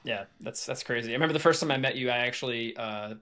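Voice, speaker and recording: Fast-talking enthusiastic man host. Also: mid-range voice, sharp tone. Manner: chatty, enthusiastic, many fillers. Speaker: podcast host. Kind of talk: podcast interview.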